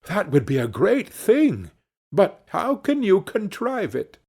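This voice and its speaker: Young storybook hero, man performing: earnest, wondering, lifted lively pitch, a touch raspy. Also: slow, full character voice. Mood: eager, wondering. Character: eager young man, simple good-hearted fairy tale hero.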